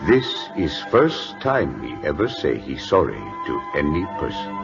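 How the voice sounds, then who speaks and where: Very deep, solemn court official. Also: male voice, wildly swooping pitch, creaky, over music, exaggerated voice. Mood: gentle, earnest. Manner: deferential, halting broken English. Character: solemn, loyal Siamese court official, deferential and grave. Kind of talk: old-time radio drama acting. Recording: muffled vintage radio broadcast.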